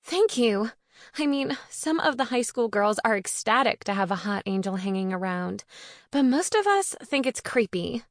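A woman's voice: a little high, big pitch swings, normal speed, dry recording. Controlling, dramatic young woman for a storybook, played by a woman: huffy, emphatic delivery, very dry sound. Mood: relieved, gossipy.

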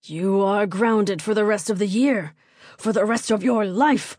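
Woman voicing a stern, gruff baron father. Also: on the low side, normal speed, mellow, dry recording, studio quality. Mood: furious. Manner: gruff, commanding. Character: stern, angry baron father, middle-aged man.

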